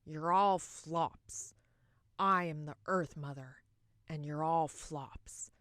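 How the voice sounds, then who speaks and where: A woman performing a theatrical monologue as a bitter, boozy middle-aged wife, mocking and self-loathing, with dramatic pauses and swings between loud and hushed. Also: low voice, no room sound, studio quality. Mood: scornful, disgusted.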